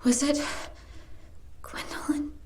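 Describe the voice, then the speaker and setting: A woman playing a weary, determined, haunted heroine in a supernatural Old West audio drama, speaking in a measured, emotive way. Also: in a whisper, lightly acted. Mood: alarmed. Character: weary, determined woman sharing her body with a murderer.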